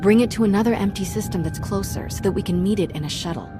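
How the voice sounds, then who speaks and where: An actress playing a plucky, frank young Jedi in a video game, with an informal, conversational delivery. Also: female voice, medium pitch, fast, lightly acted. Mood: serious, concerned. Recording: loud music under the voice.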